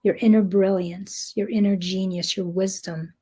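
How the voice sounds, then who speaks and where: A woman guiding a meditation in a soft, breathy, gentle voice, speaking deliberately with long pauses. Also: medium pitch, steady tone. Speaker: meditation guide. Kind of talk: guided meditation, slow soothing narration.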